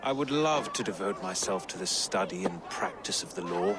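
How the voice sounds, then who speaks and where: A man's voice: low voice, lively intonation, moderate pace, very noisy. An actor playing a genial, wry Anglo-Saxon lawman in a video game, with playful, formal phrasing. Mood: warm, reflective. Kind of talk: video game dramatic dialogue.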